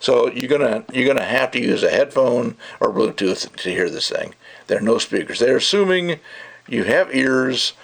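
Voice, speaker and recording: An older man with a gravelly, creaky voice giving a casual, rambling review in an opinionated, emphatic way. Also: mid-range voice, lively intonation, moderate pace. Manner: opinionated, rambling, emphatic, acts out mocking quotes. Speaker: audio gear reviewer. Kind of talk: casual ranting video review.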